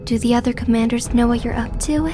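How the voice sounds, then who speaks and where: Woman acting a high-voiced, grave fantasy advisor. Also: fairly even intonation, quick pace, music in the background, close to an everyday voice. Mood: grave, pleading. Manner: earnest, cautioning. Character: grave, worried female advisor to a warlord. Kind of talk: anime game fandub acting.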